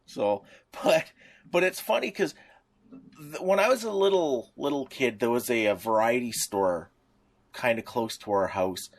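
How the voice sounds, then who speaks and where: A man's voice: medium pitch, lively intonation, a little slow. A middle-aged man co-hosting a podcast, reminiscing in a casual, rambling, anecdotal way. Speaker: podcast co-host.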